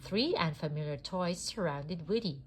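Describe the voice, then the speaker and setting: A woman reading a children's story aloud in a deliberate, expressive narrating style. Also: lowish voice, husky. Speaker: storybook reader.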